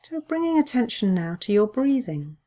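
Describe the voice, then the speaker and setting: A woman leading a guided body scan meditation as a mindfulness teacher, speaking gently and in a measured, soothing way with pauses. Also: on the high side, big pitch swings.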